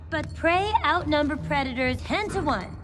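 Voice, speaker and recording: A woman voicing a small sheep villain in an animated film, anxious yet scheming. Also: high voice, moderate pace, faint music behind. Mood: insistent, scheming. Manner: explains her scheme outright.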